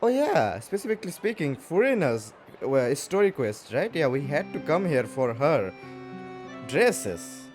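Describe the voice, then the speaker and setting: A man's voice: on the high side, sing-song, unhurried, darkish tone. A man giving casual let's-play commentary, informal and exclamatory, often repeating himself. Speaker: gamer commenting on his playthrough. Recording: game music behind the voice.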